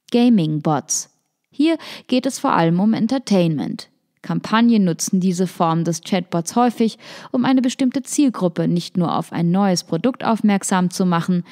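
A woman's voice: fairly low pitch, mellow. A woman reading a scripted podcast narration, like a written article read aloud.

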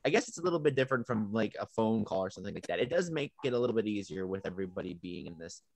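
A man's voice: lowish voice, animated, very fast, a little mellow. A young man from Ohio chatting casually as an actor on an online panel. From Ohio, United States. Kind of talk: casual video-call chat. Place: indoor video call. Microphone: video call computer microphone. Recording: clearly noisy video call audio.

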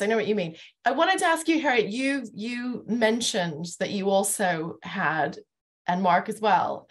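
A middle-aged woman moderating a reflective discussion over a video call, posing questions to others. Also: fairly low pitch. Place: living room, video call.

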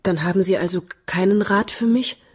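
Schauspielerin als ängstliche junge Frau mit belegter Stimme. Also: female voice, mid-range voice, slightly rough, lightly acted. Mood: helpless. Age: young adult. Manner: halting, timid, pleading. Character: anxious, brittle young heiress pleading for help. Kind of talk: German radio play acting.

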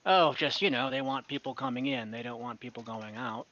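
A friendly, businesslike merchant, voiced by a man running the game talks shop in an easygoing way. The voice is bright and forward. The call audio is dull and lo-fi. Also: mid-range voice, fairly quick, close to an everyday voice. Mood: genial. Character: friendly, businesslike male merchant who owns a port.

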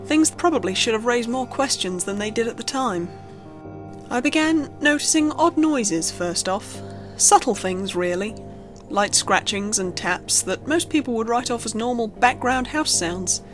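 A woman's voice: a little high, lively intonation, measured pace. A woman reading a scripted horror story as a narrator, telling it expressively. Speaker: creepypasta narrator. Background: background music.

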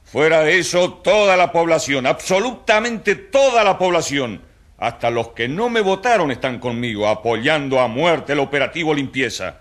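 An actor playing a smug, combative mayor in a radio drama, self-assured, dismissive and declamatory. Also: male voice, fairly high pitch, expressive, normal speed, fairly bright tone. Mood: defiant. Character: smug, combative male city mayor waging war on slums. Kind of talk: radio drama acting, as interviewed politician.

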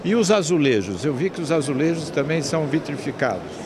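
A man's voice: fairly high pitch, big pitch swings, brisk, a crowd in the background. A Brazilian man in his fifties interviewing a guest on location for television. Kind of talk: on-location trade show interview. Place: open stand in a large indoor exhibition hall. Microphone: handheld reporter microphone. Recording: noisy exhibition hall ambience. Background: clear hall noise.

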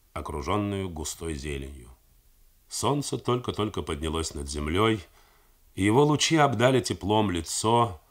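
A middle-aged male narrator reads a novel for radio. His voice sits low but moves with lively, wide pitch swings, and the delivery is measured and expressive. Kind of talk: 1980s radio novel reading.

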